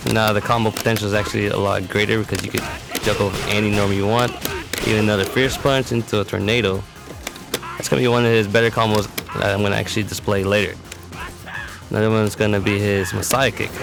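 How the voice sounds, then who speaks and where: A man's voice: lowish voice, creaky. A man giving matter-of-fact, instructional commentary for a fighting game tutorial. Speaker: competitive gamer presenting a character demo. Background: music.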